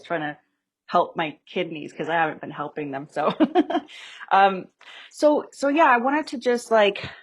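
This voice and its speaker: A woman's voice: lowish voice, husky. A woman losing her voice, talking in a chatty, loose way on a video call as a panel speaker for a youth sport funder. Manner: chatty, talks in loose restarts. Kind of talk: casual webinar talk.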